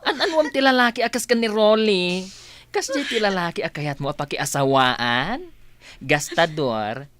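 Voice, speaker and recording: A frank, nosy best friend in a Philippine radio drama. Her voice is fairly low and very animated, with a Filipino accent and a teasing, exclamatory delivery. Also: moderate pace, dry recording. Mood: frank, advising.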